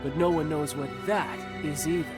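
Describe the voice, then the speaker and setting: A woman's voice: sits low, normal speed, natural voice. Woman doing a theatrical holy messenger in a game, lofty old-fashioned pompous speech, music going behind. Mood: solemn, grandiose. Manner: lofty, archaic, pompous declamation. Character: grandiose divine emissary Primal, theatrical, exuberant, young-sounding. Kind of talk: scripted video game dialogue.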